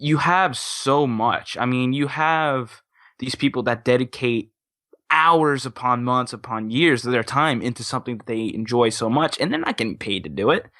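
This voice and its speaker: A man's voice: medium pitch, expressive, moderate pace, bright, forceful tone, no echo, clean studio sound. A young man in casual, enthusiastic conversation, rambling earnestly and repeating himself as he goes. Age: young adult.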